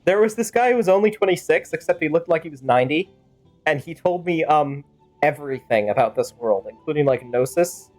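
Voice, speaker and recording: A woman's voice: low-pitched, animated, fairly quick, a little mellow, soft background music. A woman streaming a game with casual, rambling, playful commentary and sudden exclamations. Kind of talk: casual game commentary with in-character fan-dub banter.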